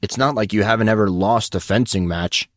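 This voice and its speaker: A man's voice: lowish voice, brisk, no room sound, studio quality, lightly acted. A man voicing a gloomy, lovesick, indignant teenage boy with a sulky manner in an audiobook story.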